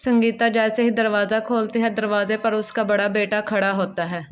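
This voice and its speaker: A woman's voice: medium pitch, level tone, moderate pace, clear, resonant tone, faint music behind. A woman narrating a scripted Hindi cartoon story as storyteller, in a dull lo-fi recording.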